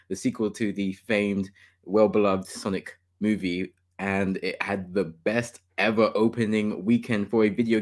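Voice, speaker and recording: Male podcast host, lowish voice, chatty and opinionated, laughs a lot. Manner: enthusiastic, opinionated, laughs often. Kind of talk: casual gaming podcast chat.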